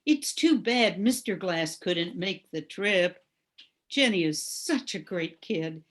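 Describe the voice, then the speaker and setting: A woman's voice: lowish voice, animated, slow pace. A woman playing a supportive, wry, protective friend in an amateur radio play. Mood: reassuring.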